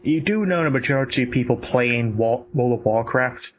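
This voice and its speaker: A man's voice: medium pitch, normal speed, muffled recording. A man chatting casually and giving blunt opinions in a sweary, ranting way. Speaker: podcast co-host. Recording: noticeable background noise.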